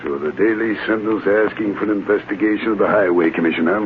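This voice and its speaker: A man playing an irascible, domineering official in an old radio drama, gruff and imperious, on a tinny, noisy recording. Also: on the low side, fast talker, close to an everyday voice. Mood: irritated. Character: irascible, domineering male highway commissioner, blustering boss.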